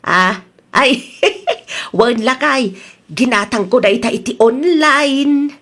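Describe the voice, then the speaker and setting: A woman playing a boastful, excitable older wife with an Ilocano accent in a comedy drama, exclaiming and drawing out her words. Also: on the high side, slow pace. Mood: proud.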